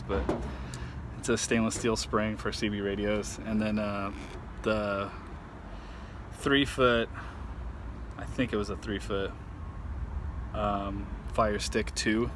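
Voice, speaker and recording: A man giving an informal, halting how-to demonstration, thinking aloud as he goes. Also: lowish voice. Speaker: amateur truck owner showing a DIY install. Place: outdoors at a truck. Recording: handheld outdoor recording with clear background noise.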